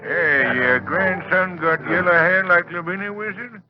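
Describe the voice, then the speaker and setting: Folksy New store lounger, teasing, fairly high voice, muffled vintage radio. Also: male voice, measured pace. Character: rustic village lounger teasing at the general store. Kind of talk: 1940s radio drama acting.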